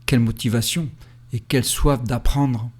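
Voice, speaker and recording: A man calmly telling the story of his cycling trip in the first person, with a reflective, storytelling way of speaking. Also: medium pitch, normal speed, breathy edge. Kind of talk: first-person travel narration. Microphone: voice-over recorded separately.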